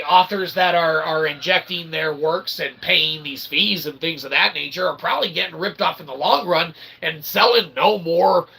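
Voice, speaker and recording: Gravelly, emphatic man on a rant. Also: a little high, low-quality recording. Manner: verbose pseudo-philosophical phrasing, sweary, repeatedly checking "okay?"; emphatic, bursts into shouting. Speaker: self-published author and channel host. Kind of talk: rambling opinionated monologue to audience.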